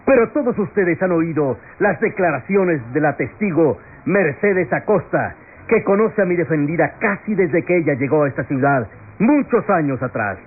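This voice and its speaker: An actor playing an impassioned defense lawyer in a classic radio melodrama, declaiming solemnly to a jury with rhetorical questions and dramatic pauses. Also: male voice, a little high, animated, husky. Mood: solemn, pleading. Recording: tinny, noisy old radio recording.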